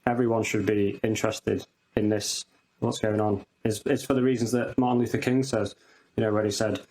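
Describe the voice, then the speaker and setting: A man hosting a podcast, answering his guest in a measured, thoughtful way. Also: fairly low pitch, little pitch movement, darkish tone, rough recording. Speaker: podcast host. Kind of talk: casual conversation.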